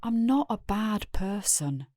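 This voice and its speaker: A woman's voice: mid-range voice, very animated, slow pace, dark tone, no echo, clean studio sound. An actress with a British accent playing a weary, resigned middle-aged wife and mother, confessing plainly in an audio drama. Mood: defensive, confessional. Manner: plain-spoken, world-weary.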